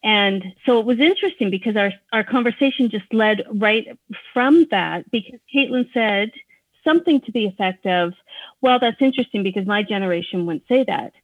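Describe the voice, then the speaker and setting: A woman's voice: medium pitch, normal speed, no room sound. A woman in her fifties co-hosting a podcast in casual, conversational discussion. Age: 50s. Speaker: podcast co-host. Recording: thin phone-like band-limited sound.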